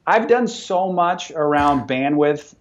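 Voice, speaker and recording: A man's voice: fairly high pitch, slow. A man in his forties talks about running his company with upbeat, motivational drive. He often stumbles over and repeats his first words. The recording sounds dull and a little lo-fi. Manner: energetic, motivational, repeats words when starting sentences. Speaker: business owner and podcast guest. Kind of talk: conversational interview.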